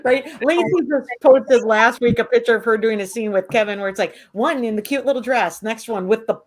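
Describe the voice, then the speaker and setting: A woman's voice: a little high, brisk. A woman hosting a casual interview, chatty and affirming and often checking in with "right?". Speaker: entertainment show host.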